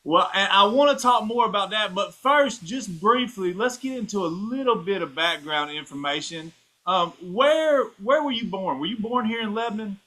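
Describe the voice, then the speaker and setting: A man's voice: high voice, animated, moderate pace, bright, forceful tone, rough recording. A man hosting an interview, asking friendly, hesitant questions with restarts. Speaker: podcast host. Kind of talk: casual interview.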